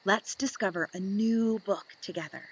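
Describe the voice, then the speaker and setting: A woman reading a picture book aloud to young children, expressive and playful, asking the listener questions as she goes. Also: mid-range voice, normal speed, slightly rough, low-quality recording. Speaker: children's story reader.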